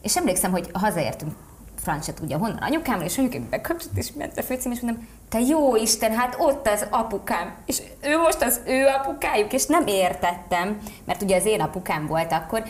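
A woman's voice: medium pitch, big pitch swings. A woman casually telling a personal anecdote in conversation. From Hungary. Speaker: participant telling a childhood anecdote.

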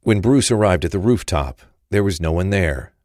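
A man's voice: low voice, expressive, moderate pace, darkish tone, no echo, clean studio sound. A man reading scripted narration for a crime thriller story.

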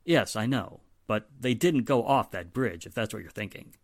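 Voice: medium pitch, animated, moderate pace, no room sound. An actor playing a weary, sardonic male police inspector in a 1940s-style radio drama, speaking emphatically with dry exasperation. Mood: dry, firm.

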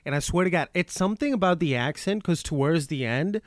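A man's voice: a little high, expressive, a little fast, no room sound. A man from Pakistan with a mostly American accent in his second-language English. He talks casually and agreeably, spinning personal anecdotes as a podcast guest. The recording is close and dry. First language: non-native English, learned as second language. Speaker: comedian guest.

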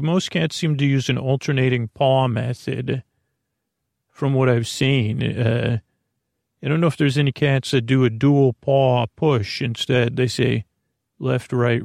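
A man hosting a bedtime podcast in a creaky voice, meandering and halting as he repeats himself and drifts off on tangents. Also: mid-range voice, a little mellow, dry recording, clean studio sound. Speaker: podcast host. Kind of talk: rambling bedtime sleep-podcast monologue.

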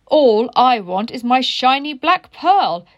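A woman's voice: high-pitched, big pitch swings, slow pace, no echo, highs cut off, exaggerated voice. A woman voicing a heartbroken little caterpillar. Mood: pleading, hurt. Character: heartbroken young female caterpillar, tender.

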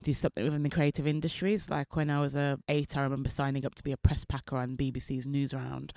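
A woman's voice: extremely low pitch, flat delivery, fast, a little mellow, dry recording. Chatty woman, mild Birmingham accent. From Birmingham, England. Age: 30s. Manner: chatty, many fillers, laughs easily. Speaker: guest. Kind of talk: casual interview chat.

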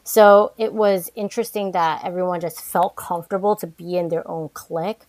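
Chatty young woman, lowish voice. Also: normal speed. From New York. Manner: frequent restarts and "like", animated asides. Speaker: podcast guest. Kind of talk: casual interview conversation.

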